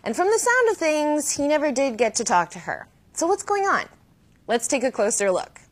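A woman with a fairly high, animated voice presents a language lesson. Her delivery is scripted and didactic. She slows down to model key phrases clearly for learners. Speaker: host and language teacher.

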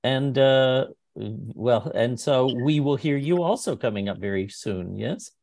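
A man's voice: medium pitch, animated, unhurried, gravelly, a little mellow, no room sound. A middle-aged man hosting casually and chattily on a video call from a room indoors. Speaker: host of an online singing competition. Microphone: computer mic on a video call.

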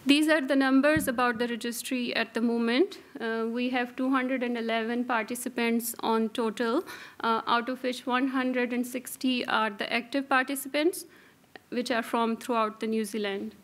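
A woman's voice: on the high side, steady tone, normal speed, fairly bright tone. A woman in her thirties giving a conference presentation at a podium microphone, with a careful, hesitant delivery and frequent fillers. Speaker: registry coordinator presenting.

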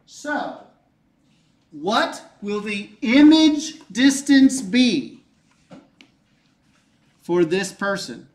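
A man in his forties teaching a physics class, lively and joking, repeating his key points. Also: high-pitched, very slow, long pauses. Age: 40s. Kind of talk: classroom lecture. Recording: echoey room, mic far off.

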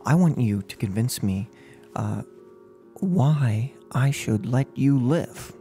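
A young man in his twenties playing a gloating, unhinged serial killer in an ASMR role-play, speaking close to the mic in a halting, mock-polite, menacing way. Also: mid-range voice, lively intonation, mellow, faint music behind. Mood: mock-polite menace. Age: 20s. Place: small furnished room at home. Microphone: close microphone on a boom arm.

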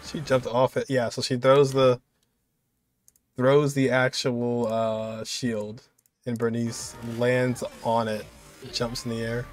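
A man's voice: mid-range voice, level tone, takes it slowly, soft background music. A man giving casual reaction commentary from an indoor room. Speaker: reaction video host.

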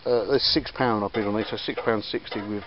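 A man's voice: fairly low pitch, steady tone, brisk. A man casually chatting while showing his auction finds, rambling with lots of ums and restarts as he films outdoors. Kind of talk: casual chat. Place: outdoors in a garden and workshop. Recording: slightly dull camera audio with faint background noise. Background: faint outdoor ambience and church bells.

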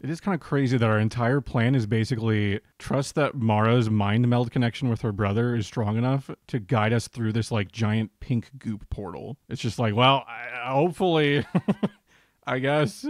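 A man's voice: mid-range voice, normal speed, dry recording. A young man chatting casually as a game streamer, reacting live from a bedroom on a close microphone. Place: bedroom, indoors. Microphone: close desk microphone with headset.

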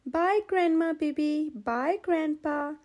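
A woman's voice: high-pitched, takes it slowly, darkish tone. A woman voicing a sweet, earnest little girl in a children's storybook, with a plaintive delivery. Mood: affectionate.

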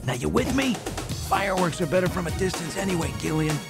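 Gravelly cocky merc barking orders, game acting, loud music behind. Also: male voice, high-pitched, expressive, fairly quick. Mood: urgent, commanding. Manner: commanding, urgent. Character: tough, gruff, cocky male mercenary, self-sacrificing. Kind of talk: action voice acting in an adventure game.

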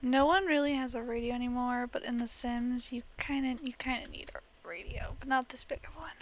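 A woman's voice: fairly high pitch, fairly even intonation, a little fast, muffled recording. A young woman casually chatting while she plays a building game, rambling with little laughs, her voice cracking now and then. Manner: chatty, rambling, giggly asides. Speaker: gaming vlogger.

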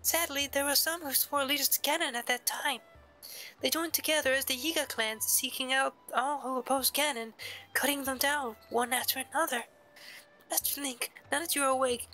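A man voicing a shy, earnest young village woman from a video game in a polite falsetto. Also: fairly even intonation, a little fast, pushed and bright, faint music behind, poor audio. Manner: polite, hesitant, stammering when flustered.

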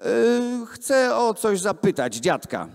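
An eager, restless young inventor from a children's storybook , voiced by a man reading aloud. The voice is raised and lively, excited and insistent. It rings through an echoing hall. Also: sits high, takes it slowly, creaky, voice pushed up, heavily acted. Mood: pleading, urgent. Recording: echoey hall, amplified voice filmed from the room.

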